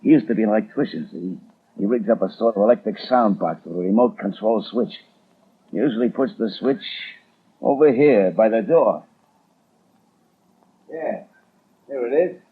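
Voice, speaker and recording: Husky, sneering American gangster. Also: male voice, lowish voice, lively intonation, normal speed, long pauses. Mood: coldly menacing. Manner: sneering, slangy tough-guy talk. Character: Spike Collins, crude, vengeful male gangster hitman. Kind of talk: 1930s radio acting. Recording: tinny 1930s radio broadcast.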